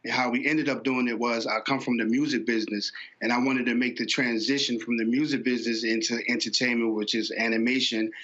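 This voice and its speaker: Bright-voiced man, slightly dull audio. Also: mid-range voice, little pitch movement, a little fast, hardly any pauses. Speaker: animation entrepreneur, interview guest. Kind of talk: explanatory interview answers.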